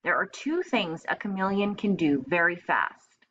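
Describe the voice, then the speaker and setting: A woman reading aloud as a teacher, narrating a storybook and pausing to explain in simple terms. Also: lowish voice, expressive, fairly bright tone, highs cut off, a little hiss or hum.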